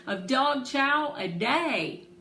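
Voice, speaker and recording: Woman playing a bouncy, bold young girl, emphatic and higher-pitched. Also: lively intonation, very slow, bright, forceful tone, exaggerated voice. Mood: amazed. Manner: exclaiming, cheeky, emphatic. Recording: roomy echo, faint background noise.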